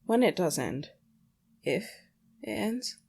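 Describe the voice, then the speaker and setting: A young adult podcast host with a creaky voice, rambling casually alone in a blunt, sweary, self-questioning way. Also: mid-range voice, very animated, slow pace, darkish tone, slight background noise. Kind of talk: casual solo rambling monologue.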